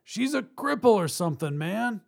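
A man's voice: sits high, big pitch swings, moderate pace, vocal fry, a little mellow, pitched above the performer's own voice, heavily acted. A man voicing a nervous, unsure male bank robber in an audio story, blurting in hesitant slang. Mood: confused.

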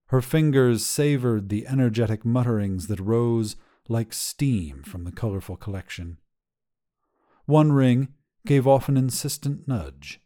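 A man's voice: medium pitch, warm tone. A man reading scripted short fiction as the narrator. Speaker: story narrator.

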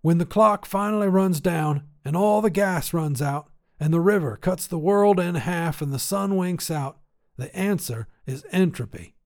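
A grumbling, folksy old grandpa acted out by a male narrator for a short story. His voice sits high and he speaks with emphatic, cranky conviction, like a tinkerer who thinks everything is falling apart. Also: unhurried, darkish tone. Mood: earnest preaching. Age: older. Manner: folksy, grumbling, emphatic, rural turns of phrase. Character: cantankerous old grandfather tinkerer, obsessed with decay and repair. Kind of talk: acted character dialogue in short fiction reading.

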